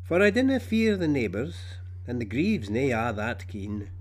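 A man voicing a wise, protective mother lark in Scots storybook verse, gentle and reassuring, with a Scottish accent. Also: mid-range voice, big pitch swings, moderate pace. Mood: decided.

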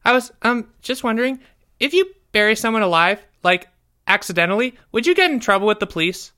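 A man voicing a nervous, guilty young schoolboy in a story, stammering and evasive in halting, hurried bursts. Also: high voice, lively intonation, pushed and bright, pitched above the performer's own voice. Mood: nervous, sheepish.

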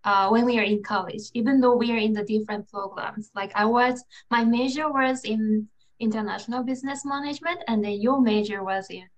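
A woman's voice: on the high side, fairly quick, poor audio. A woman interviewer whose first language is Japanese, asking a guest short, friendly questions in English. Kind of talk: casual interview chat.

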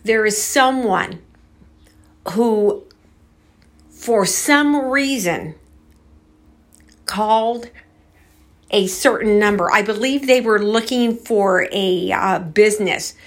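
A woman talks to her viewers as a storytelling host. Her delivery is slow and unhurried, with a mid-range pitch. She sounds casual and conversational, musing as she goes. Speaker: host of a paranormal storytelling channel.

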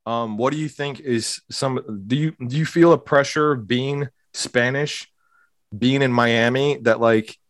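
A man's voice: medium pitch, unhurried, dry recording, clean studio sound. A man from Hialeah, Florida, hosting a podcast in a laid-back, casual way. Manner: laid-back, says bro a lot. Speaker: podcast host.

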